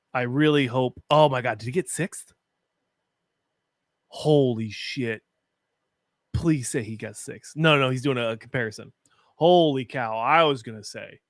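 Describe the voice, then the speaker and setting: A young man with a deep, gravelly voice hosts a live watch party, talking loosely and sharing opinions. His speech is full of fillers. The sound is close and dry, like a desk mic in a small room at home. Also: a bit bright, no echo. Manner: chatty, opinionated, frequent fillers. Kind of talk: casual live-stream commentary.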